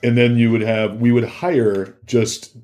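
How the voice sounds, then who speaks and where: A man speaking as an interview guest, telling anecdotes casually, halting and repeating himself for emphasis. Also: mid-range voice, flat delivery, darkish tone. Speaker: interview guest, former bodyguard.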